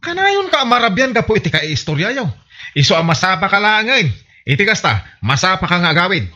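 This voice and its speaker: A man's voice: high-pitched, very animated, quick pace, bright, forceful tone, exaggerated voice. A man playing an exasperated village husband who scolds his wife in a comedy radio drama, on muffled audio.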